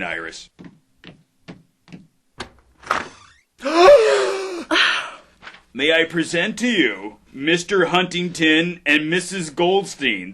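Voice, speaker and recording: Defiant scientist-entrepreneur, played by a man, self-important and formal, forceful raised male voice, satirical radio play. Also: fairly high pitch, heavily acted. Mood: proud, formal. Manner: pompous, indignant, formal. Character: pompous, defiant genetic engineer and entrepreneur. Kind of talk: satirical audio drama.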